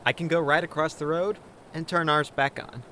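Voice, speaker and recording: A man's voice: on the high side, steady tone, normal speed, pushed and bright, slight background noise. An actor playing a breezy, wry male resort worker in an audio drama. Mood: casual confidence.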